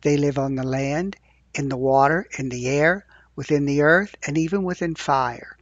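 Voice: medium pitch, moderate pace, dry recording, highs cut off. A host reading scripture aloud and chatting casually with the group over online voice chat. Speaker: scripture class reader and host. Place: voice chat in an online virtual world.

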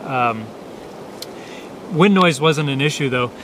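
A man in his thirties with a slightly high voice talks through gear in a loose, unscripted review. He meanders and hesitates as he explains. It is recorded outdoors on a camera, with clear background noise. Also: takes it slowly. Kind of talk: casual, unscripted product review. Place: outdoors in dry grass by parked motorcycles.

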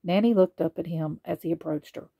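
A woman reading children's bedtime stories aloud in an expressive way. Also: fairly low pitch, brisk, creaky, slightly rough, dry recording. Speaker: storybook reader.

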